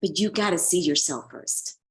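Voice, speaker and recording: A woman's voice: on the low side, moderate pace. A woman from Colombia, a relationship coach and author, speaking earnestly as an interview guest, often repeating words as she searches for them.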